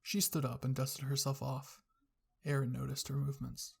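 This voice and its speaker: Narrator for scripted ASMR roleplay fiction; raspy voice with fry. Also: mid-range voice, little pitch movement, moderate pace. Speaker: narrator reading fan fiction.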